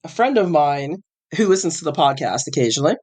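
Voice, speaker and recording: A man's voice: on the high side, big pitch swings, fast talker, darkish tone. Chatty man in his forties, slightly sick. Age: 40s. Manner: rambling, self-correcting, chatty. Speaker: podcast host. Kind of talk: casual podcast chat.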